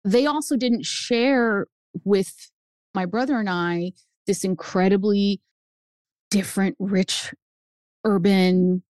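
A woman in her fifties whose first language is Spanish, telling her family story as a guest author, animated and jumping up in pitch for emphasis. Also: takes it slowly, long pauses, dry recording. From United States. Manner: animated, swings up high for emphasis. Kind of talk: personal storytelling in an interview.

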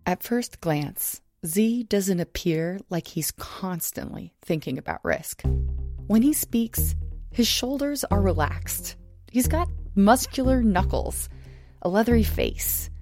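A woman producer giving measured, scripted podcast narration. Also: medium pitch, expressive, a little mellow, faint music behind. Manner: measured, descriptive.

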